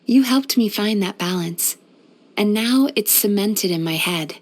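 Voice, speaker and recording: Gentle lady psychologist for a game, measured n soothing, a bit playful, medium pitch. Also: lively intonation. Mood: grateful, earnest. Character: gentle, caring female psychologist who banishes nightmares. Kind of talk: scripted video game character voice lines.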